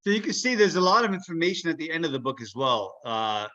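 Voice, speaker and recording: Male children's author, very bright voice, informal explainer for kids, muffled call audio. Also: on the high side, sing-song, fast. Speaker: children's book author and guest. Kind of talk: informal explanation to a young audience. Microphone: video call.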